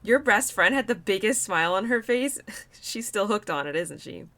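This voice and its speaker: A woman voicing a young female spirit of autumn in an intimate audio roleplay, caring and expressive, playful and easily excited. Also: mid-range voice, normal speed. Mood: fond, cheerful. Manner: expressive, bubbly, giggly, naive about humans.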